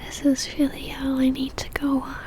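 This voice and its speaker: A woman playing an obsessive, seductive female vampire in a whispered ASMR roleplay, speaking intimately with a teasing, soft menace. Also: sits high, completely flat delivery, normal speed, close to an everyday voice. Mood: adoring, obsessive.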